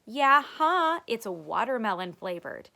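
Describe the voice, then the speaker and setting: Lady doing a cocky excitable little boy from a storybook, voice bouncing all over, emphatic. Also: fairly high pitch, a little slow, creaky, voice pushed up, full character voice. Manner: eager, boastful, emphatic. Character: boastful excitable young boy proud of his nose.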